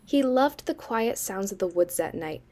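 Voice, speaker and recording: A young woman acting as a sardonic, eerie campfire storyteller in a student audio drama, with dry, deliberate delivery. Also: mid-range voice, expressive, mellow, lightly acted. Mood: ominous, measured. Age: young adult.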